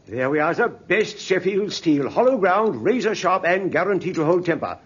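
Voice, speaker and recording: An actor playing a polite, deferential hardware shop clerk with brisk sales patter in a 1950s radio drama. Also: male voice, sits high, expressive, breathy edge. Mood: obliging salesmanship. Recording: muffled old radio recording.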